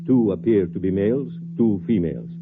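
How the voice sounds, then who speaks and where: An actor playing a grave scientist in a 1950s radio drama, speaking in a clipped, solemn, measured way over a muffled vintage recording. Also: male voice, fairly low pitch, lively intonation, loud music behind the voice, close to an everyday voice. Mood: solemn, clinical. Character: grave male scientist running a desperate experiment on humanity. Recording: muffled vintage radio, loud humming electronic tones.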